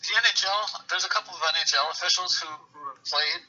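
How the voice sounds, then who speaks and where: A man's voice: high-pitched, fast, sharp tone, husky. A man chatting casually about sports as an interview guest over the phone, in a rambling, conversational way. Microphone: phone call. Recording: muffled radio-like phone line.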